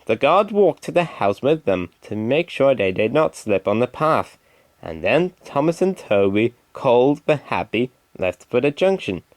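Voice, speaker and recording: A man's voice: medium pitch, sing-song, unhurried, no echo. A man reading a scripted children's story as narrator.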